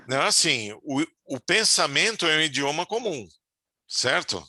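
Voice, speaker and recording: A middle-aged man giving a relaxed spiritual talk, speaking conversationally and often tagging his sentences with quick questions. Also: fairly high pitch, dry recording, clean studio sound. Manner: conversational, frequent "né?" tag questions. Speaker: spiritual teacher.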